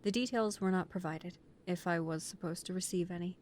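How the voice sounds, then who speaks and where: A woman's voice: lowish voice, a little fast, a little mellow, slight background noise, natural voice. A woman playing a nervous, deferential mafia recruit who speaks politely and formally, with hesitation, in an audio roleplay. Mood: dutiful, careful.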